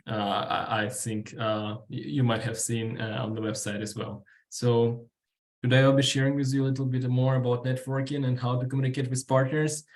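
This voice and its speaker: A man in his twenties with a Ukrainian accent, speaking informally and haltingly as a guest at a workshop. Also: medium pitch. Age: 20s. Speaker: guest speaker, student delegate. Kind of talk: informal workshop talk answering questions.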